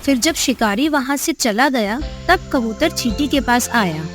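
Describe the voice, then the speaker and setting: Female storyteller for kids' moral tales: high voice, scripted narration, clear music bed. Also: animated, unhurried.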